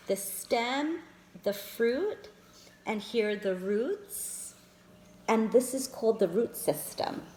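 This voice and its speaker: A woman reads a picture book aloud to small children, slowly and with a bouncy, sing-song rise and fall. Her voice sits fairly high, and she keeps turning to her listeners as friends to show them the pictures. The sound carries an echo from the room. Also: darkish tone. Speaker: children's storyteller. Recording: echoey room.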